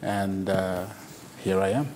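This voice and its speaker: A man from Zimbabwe with a lowish voice gives interview answers. He hesitates often, with fillers and restarts. Faint noise sits behind the recording. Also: level tone, slow, a little mellow. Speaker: country manager, guest.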